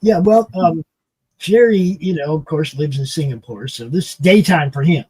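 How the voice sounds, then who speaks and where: A man's voice: fairly high pitch, moderate pace, a little mellow, breathy edge, no echo, rough recording. A man in his early sixties chatting casually as the host of a streaming panel show, often repeating his phrases as he explains things. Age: early 60s.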